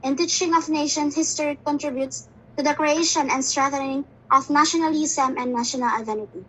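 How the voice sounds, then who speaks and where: High bright-voiced woman. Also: steady tone, fairly quick, poor audio. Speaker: student panelist. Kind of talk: prepared answer.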